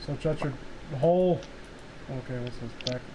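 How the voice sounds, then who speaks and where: A man's voice: mid-range voice, normal speed, mellow, poor audio. A man hosting a casual livestream group call, with a teasing, profane way of talking. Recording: faint background noise, livestream group call.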